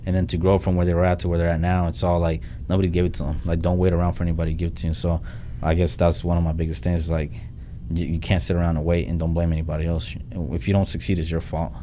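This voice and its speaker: A young man with a very low voice, talking quickly and bluntly as a guest in a casual interview. His pitch stays flat and level, and he restarts sentences and tosses in "like" and "man" as he goes. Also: slight background noise. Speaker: real estate investor guest. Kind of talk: casual podcast interview talk.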